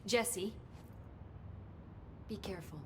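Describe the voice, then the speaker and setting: A woman's voice: medium pitch, slow, lightly acted. A woman playing a concerned, matter-of-fact colleague who gives directions in a video game. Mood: calm, concerned. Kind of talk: video game cutscene acting. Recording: distant echoey room, clear noise.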